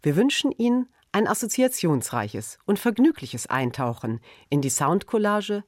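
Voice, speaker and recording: A woman's voice: mid-range voice, very animated, normal speed, darkish tone, no room sound, clean studio sound. A woman presenting a radio programme, reading a formal scripted introduction.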